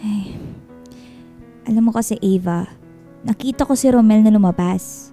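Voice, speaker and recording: A woman's voice: medium pitch, normal speed, dark tone, faint music behind, natural voice. A woman playing a bossy, blunt, gossipy young friend with a Filipino accent in a radio drama.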